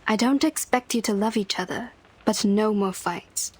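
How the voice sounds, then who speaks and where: A woman voicing a stern, composed and quietly menacing authority figure, measured and commanding, in a video game. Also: mid-range voice, husky, natural voice, poor audio. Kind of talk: dramatic video game voice acting.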